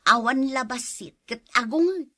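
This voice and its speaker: A woman's voice: sits high, expressive, normal speed, pushed and bright, no echo, studio quality, heavily acted. A woman playing a long-suffering, exasperated wife and mother in a radio drama, with a complaining, weary manner. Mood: weary, resigned.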